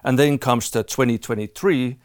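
A middle-aged Finnish-accented man at a medium pitch, talking as a podcast guest. He hesitates often and fills gaps with "uh". The sound is dry and close. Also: moderate pace, dry recording. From Finland. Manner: hesitant, many "uh" fillers. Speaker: guest, fund manager. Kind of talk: podcast interview.

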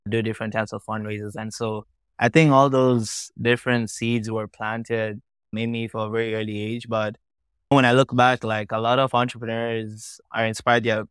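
A man's voice: fairly low pitch, smooth, no room sound. A young man from Tanzania, a founder talking casually as a podcast guest, often saying "like" and "you know". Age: young adult. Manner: casual, lots of likes and you-knows.

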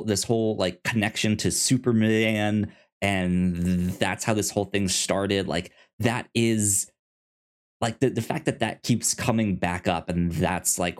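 A man co-hosting a podcast, talking casually about a TV series in a halting, earnest, enthusiastic way and often repeating words. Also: lowish voice. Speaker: podcast co-host.

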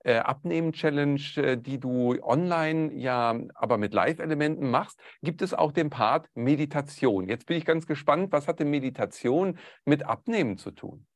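A male interviewer summarizing and asking questions, measured, with frequent hesitation fillers. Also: on the high side, expressive, no echo. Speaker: interviewer, host.

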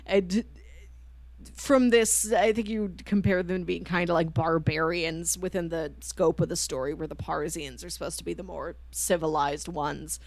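A woman's voice: on the low side, steady tone, moderate pace, no echo. Woman chatting casually, dry close sound. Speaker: podcast co-host. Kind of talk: casual chat reviewing manga.

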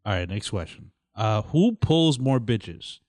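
A man's voice: lowish voice, very animated, a little slow, darkish tone, no room sound, clean studio sound. A young man co-hosting a casual podcast, reading out fan questions in an upbeat, teasing way.

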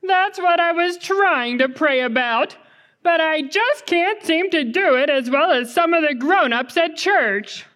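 A woman voicing an earnest young boy puppet in a children's church show, halting and innocent. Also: extremely high pitch, expressive, a bit bright, heavily acted. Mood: worried, hesitant. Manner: halting, pompous when praying, otherwise plain childlike talk. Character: earnest young boy puppet, worried about his sister.

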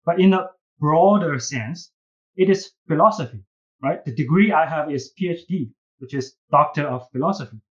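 A man with a Chinese accent and a fairly high voice gives earnest interview answers as a professor. He speaks haltingly, repeating words as he builds his point. The recording sounds slightly dull and lo-fi. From China. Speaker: business school professor and case writer, podcast guest.

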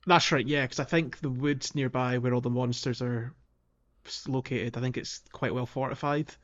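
A man's voice: medium pitch, fairly even intonation, moderate pace, fairly bright tone. Man chatting casually, slightly dull lo-fi audio. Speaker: podcast co-host. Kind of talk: casual discussion of a video game playthrough.

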